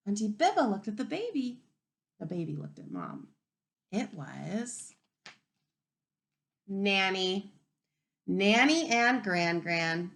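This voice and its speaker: A woman teacher reading a picture book aloud to young children in an expressive, instructive way, pausing to ask questions. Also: medium pitch. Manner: animated, instructive, asking the listeners questions.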